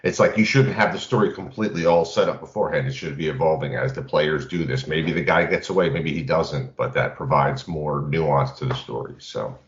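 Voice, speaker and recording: Super deep voiced guy chatting on a gaming podcast, echoey and a bit muffled. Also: brisk, hardly any pauses. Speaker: podcast co-host. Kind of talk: casual panel discussion on tabletop role-playing. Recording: roomy, dull band-limited audio.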